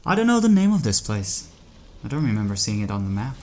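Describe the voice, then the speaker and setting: A man acting in an audio drama as a lonely, heartsick driver lost at night, speaking in a halting, questioning way. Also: on the low side, very animated. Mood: alarmed.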